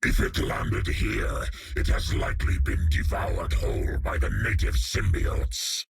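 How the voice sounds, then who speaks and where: An actor voicing a menacing alien symbiote monster in a video game, with a hissing guttural rasp and ominous formal speech. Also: male voice, sits low, measured pace, heavily acted. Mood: grim. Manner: ominous, formal, calls itself "we". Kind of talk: video game character lines.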